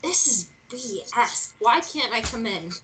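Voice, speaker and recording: A woman's voice: fairly high pitch, animated, unhurried, bright, forceful tone, slight background noise, poor audio. A woman playing a stubborn, irreverent young woman in a screenplay read, talking in a hesitant, conversational way. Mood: insistent. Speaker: actor in a screenplay table read.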